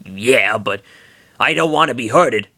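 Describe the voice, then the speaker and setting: A man's voice: medium pitch, lively intonation, unhurried, sharp tone, dry recording, full character voice. A man voicing a timid, small male electric-mouse creature in a comic reading of fan fiction, hesitant and stammering.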